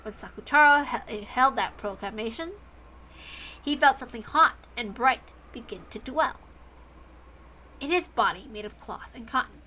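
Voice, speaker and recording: A woman's voice: fairly high pitch, lively intonation, moderate pace, pushed and bright, poor audio. A woman reading a story aloud as scripted narration. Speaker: reader.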